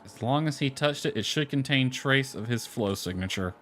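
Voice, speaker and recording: A man's voice: medium pitch, expressive, normal speed, a bit bright, slight background noise. A man giving casual game commentary, reading dialogue aloud with offhand asides. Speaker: gamer commenting on own playthrough. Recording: lo-fi music playing behind. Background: background music.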